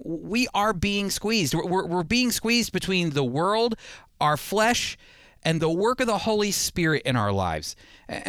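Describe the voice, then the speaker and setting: A man's voice: on the high side, fairly bright tone, clean tone, no room sound, studio quality. A man hosting a radio program, teaching the Bible in a conversational, earnest and emphatic way, repeating phrases for emphasis.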